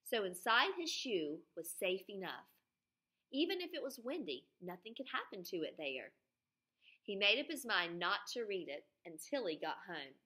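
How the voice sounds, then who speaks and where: A woman with a bright, clear-cut voice reads a children's book aloud in a teacher's manner. She is expressive and breaks off often to explain things simply or put questions to her young listeners. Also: mid-range voice, normal speed.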